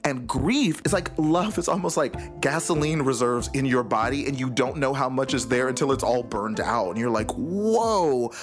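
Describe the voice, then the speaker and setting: Expressive interview guest over music. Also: mid-range voice, normal speed, hardly any pauses. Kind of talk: reflective conversational interview. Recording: music playing behind the voice.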